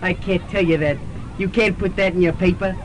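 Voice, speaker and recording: A man's voice: sits high, fairly even intonation, fairly quick, a crowd in the background, lightly acted, rough recording. Man playing a flippant young guy. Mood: grave.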